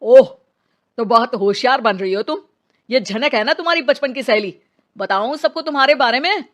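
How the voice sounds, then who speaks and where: A high-pitched, blunt and scornful man from a cartoon story, acted out by a woman. The delivery is dramatised dialogue, hard-edged and demanding. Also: animated, moderate pace, lightly acted. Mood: angry, threatening. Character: male characters: gruff policemen and scornful angry men.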